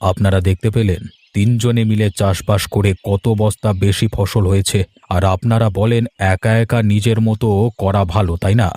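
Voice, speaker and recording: A man's voice: lowish voice, little pitch movement, moderate pace, a little mellow, close to an everyday voice. A man voicing a firm, earnest village man in a cartoon story, explaining and persuading. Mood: assured. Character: reasoning village men: farmers and headman, firm, earnest. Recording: music behind the voice.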